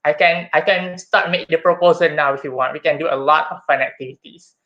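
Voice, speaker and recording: A man's voice: on the high side, brisk, pushed and bright, highs cut off. A young man with a Malaysian accent giving a chatty, somewhat hesitant student campaign speech, often calling his audience guys. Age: young adult. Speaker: student election candidate.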